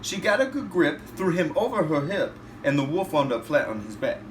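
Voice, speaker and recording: A man, a father, reading a rhyming bedtime storybook aloud in an animated way. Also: a little high, brisk. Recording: roomy, distant, noisy home recording.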